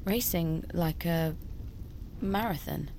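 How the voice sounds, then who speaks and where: An actress playing an anxious, apologetic niece, hesitant and stammering, in an audio drama. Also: female voice, lowish voice, takes it slowly, warm tone, a little hiss or hum. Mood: flustered, awkward. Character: anxious, apologetic, flustered niece under pressure.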